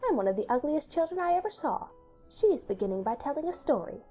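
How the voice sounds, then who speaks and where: Woman voicing a high, solemn little girl. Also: very animated, fast, warm tone, highs cut off, soft background music. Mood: earnest, puzzled. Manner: earnest, serious.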